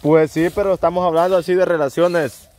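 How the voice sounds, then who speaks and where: Salvadoran male vlog host, higher-than-usual voice, playful, nosy questioning in casual chat. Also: fairly even intonation, a little fast, a little mellow. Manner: playful, prying. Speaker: vlog host asking questions behind the camera. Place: outdoors under a tin-roofed shelter by a fence.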